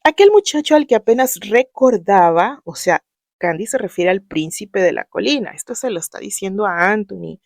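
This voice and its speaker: A woman's voice: mid-range voice, sing-song, normal speed, creaky, darkish tone, dry recording, studio quality. A Mexican woman telling and commenting on a story in a chatty, slangy way, often laughing.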